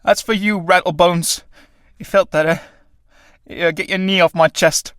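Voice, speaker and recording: A man playing a battered old male tramp who talks in rough, colloquial speech. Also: sits high, fairly even intonation, unhurried, fairly bright tone, no room sound, clean studio sound, pitched above the performer's own voice. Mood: desperate. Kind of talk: radio play acting.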